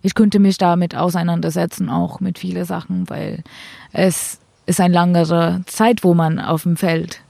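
A woman's voice: lowish voice, level tone, measured pace, creaky, dark tone, no room sound. Young woman with an Indian accent, hesitant. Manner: hesitant, simple non-native German with fillers. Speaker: cricket player, guest. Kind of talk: casual interview answers.

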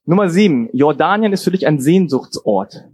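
A man's voice: on the high side, expressive, moderate pace, darkish tone, slightly rough, dry recording. A man asking questions as an interviewer in a casual, conversational way.

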